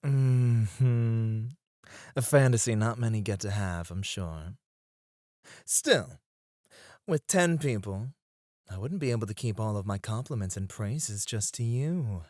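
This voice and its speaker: A man playing a suave, flirtatious club escort in an intimate ASMR roleplay, teasing and breathy, close to the mic. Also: lowish voice, lively intonation, a little mellow, no room sound. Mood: flirty, teasing. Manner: slow, teasing, seductive, often dropping to a breathy murmur. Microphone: close studio microphone, dry.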